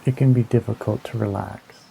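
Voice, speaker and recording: A man leading a guided meditation in a slow, soothing, hypnotic manner, with long pauses between short phrases. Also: on the low side, fairly even intonation, creaky, warm tone, breathy edge, low-quality recording. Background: ambient new-age music with singing bowls.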